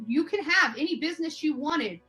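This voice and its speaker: A woman's voice: high voice, lively intonation, fairly quick, bright, forceful tone, soft background music. A woman confronting someone with insistent, accusatory, repetitive questions on a phone call. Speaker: interviewer confronting a man by phone.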